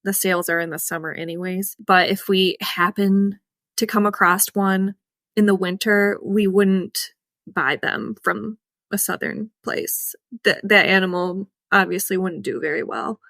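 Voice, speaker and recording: A woman's voice: mid-range voice, fairly even intonation, unhurried, a bit bright, dry recording, clean studio sound. A young woman with a Midwestern accent, co-hosting a casual farming podcast, chatty and emphatic, laughing often. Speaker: co-host, cattle farmer.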